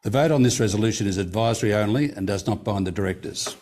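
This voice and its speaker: A man with a lowish voice chairs a formal meeting in a meeting room, working steadily through resolutions. He reads long vote counts carefully and corrects himself when a figure slips. A faint room hiss sits under his voice. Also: quick pace, a little mellow.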